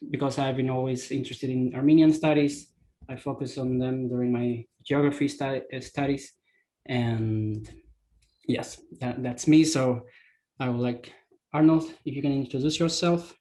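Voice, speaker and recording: A man's voice: mid-range voice, darkish tone, poor audio. Hesitant man from Argentina opening a call. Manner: hesitant, with many fillers and restarts. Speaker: co-host. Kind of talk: opening an online meeting. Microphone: video call.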